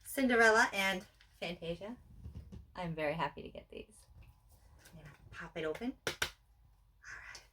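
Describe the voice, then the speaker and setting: A woman's voice: lowish voice, animated, moderate pace, long pauses, bright, forceful tone. A woman chatting casually and playfully in an unscripted unboxing at home, with exclamations and jokes at her own expense. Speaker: co-host showing collection items. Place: indoors at home on a couch. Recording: distant mic, noisy room.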